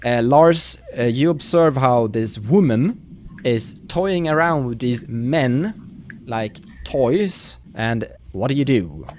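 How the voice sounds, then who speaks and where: Guy game mastering a fantasy rpg, thinks out loud, medium pitch, bit of noise. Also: expressive. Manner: halting, thinking aloud as they describe. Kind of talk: improvised narration of a tabletop roleplaying game. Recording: faint noise, live stream audio.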